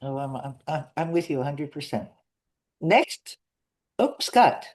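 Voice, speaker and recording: A man's voice: on the high side, expressive, unhurried, a little mellow. A man hosting an interview on a video call, eager and reacting with short exclamations. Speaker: host.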